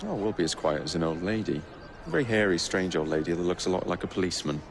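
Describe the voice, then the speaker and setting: A man's voice: sits low, animated, quick pace, background noise. A man playing a cocky, brash young assassin and gang leader in a video game, flippant and sardonic. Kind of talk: video game cutscene acting.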